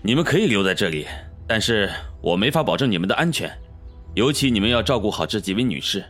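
A man's voice: on the low side, very animated, fast talker, fairly bright tone, soft background music. An actor playing a stern, businesslike male prison gatekeeper in a radio drama. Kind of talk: dubbed Chinese radio drama acting.

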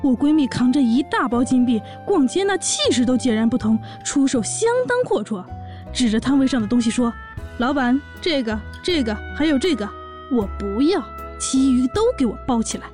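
A woman voicing an excitable young orc wife and daughter, narrating and chatting in a fan audio drama. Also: sits high, normal speed, faint music behind. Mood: chatty, coaxing.

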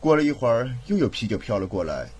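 A man's voice: mid-range voice, sing-song, quick pace, a little mellow, breathy edge. An actor playing a tipsy, laid-back young man adrift at sea in an audio drama, wry and casual in manner. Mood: breezy, tipsy.

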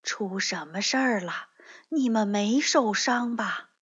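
A kindly old grandmother bear from a children's storybook, acted out by a woman. She speaks slowly and softly, with patient, forgiving gentleness, in a slightly dull recording. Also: medium pitch, expressive. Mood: worried. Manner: gentle, slow, soft-spoken.

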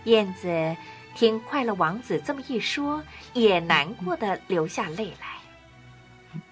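A woman narrating a scripted children's story in an expressive, deliberate way. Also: medium pitch. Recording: music behind the narration.

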